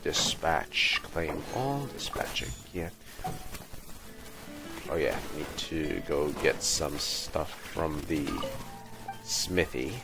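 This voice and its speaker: A man's voice: a bass voice, expressive, extremely slow, vocal fry, over loud music. A man livestreaming a game, muttering commentary to himself in short fragments as he plays. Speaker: game streamer. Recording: noisy livestream mic. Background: background noise.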